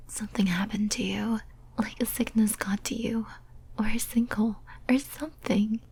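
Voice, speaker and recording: A lonely, clingy female demon sealed in a cage, played by a woman for an ASMR roleplay. The voice is an extremely breathy, soft whisper with a dark, mellow tone. The delivery is slow, hesitant and tenderly pleading. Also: mid-range voice. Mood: anxious, wistful.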